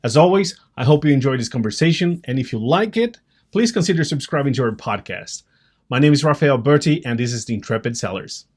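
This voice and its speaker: A male podcast host with a middle-pitched voice opens the show and greets his guest. He talks politely and stops now and then on small fillers. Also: lively intonation, a little fast, darkish tone, breathy edge.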